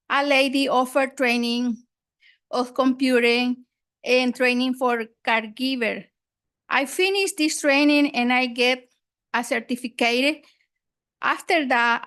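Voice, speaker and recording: High-voiced woman, Salvadoran Spanish accent. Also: fairly bright tone. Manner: careful, halting learner's English. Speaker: public commenter, adult school student. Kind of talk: prepared public comment. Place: board meeting room.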